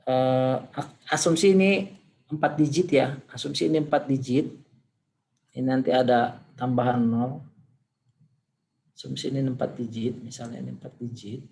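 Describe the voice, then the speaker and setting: A man teaching a class, hoarse today, talking informally and thinking aloud as he demonstrates on screen. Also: mid-range voice, fairly even intonation, moderate pace, long pauses, warm tone, low-quality recording. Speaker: lecturer.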